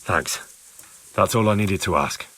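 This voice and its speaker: An actor playing an earnest, persistent young investigator in a video game, questioning in a measured way. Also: male voice, low voice, heavy background noise. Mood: probing. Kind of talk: video game dialogue acting.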